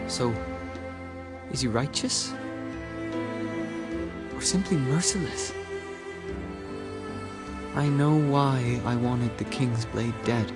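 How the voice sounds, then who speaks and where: A man's voice: medium pitch, close to an everyday voice. A man voicing a brooding, resolute and melancholy estranged brother in a dramatic video game.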